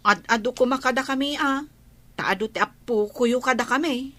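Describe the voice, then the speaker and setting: High, bright-voiced whiny Ilocano actress as a grasping wife. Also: female voice, moderate pace. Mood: demanding, whining. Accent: Filipino, Ilocano. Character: greedy, complaining married daughter-in-law demanding a bigger share. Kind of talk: radio drama acting.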